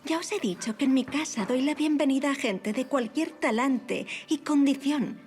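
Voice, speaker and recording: High-voiced, gracious aristocratic lady played by an actress. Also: moderate pace, darkish tone, close to an everyday voice. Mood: politely firm. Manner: polite, poised, formal period speech. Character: gracious, witty young aristocratic lady, affectionate and self-assured. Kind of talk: audio drama acting.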